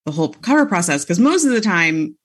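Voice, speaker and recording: A woman's voice: on the low side, sing-song, a little fast. A woman from the Chicago area, an author talking about her book in a casual interview, chatty, laughing often and restarting her sentences. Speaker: author guest.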